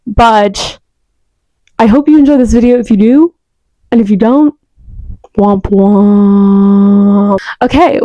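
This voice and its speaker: Young female book vlogger, effusive and chatty, mellow timbre, dry close sound. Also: a little high, no echo, low-quality recording. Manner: gushing, effusive, repeats words for emphasis. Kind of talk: casual chatty book review. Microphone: new external microphone.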